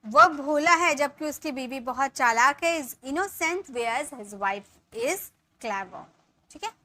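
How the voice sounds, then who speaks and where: A woman in her thirties gives an English lesson, explaining each point in Hindi. Her voice sits high and sounds bright and clear. She takes her time, saying the English sentences slowly and word by word for her viewers. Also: expressive, no echo. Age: 30s. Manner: addresses viewers as guys, checks in with "ठीक है". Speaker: English teacher.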